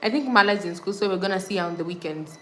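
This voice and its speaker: A woman's voice: medium pitch, racing, pushed and bright, a little hiss or hum. A young woman from Kenya hosting a casual livestream, reading viewer comments and repeating thanks and subscribe reminders. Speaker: livestream host. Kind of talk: casual live-stream chat with viewers.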